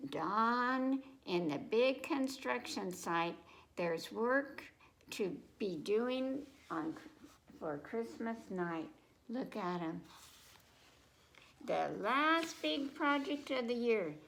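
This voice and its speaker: Woman reading slowly to children, gravelly edge. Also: on the high side, animated, a bit bright. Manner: addresses small children directly with simple questions and repetition. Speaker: children's library storytime presenter. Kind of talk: reading picture books aloud to young children with casual asides.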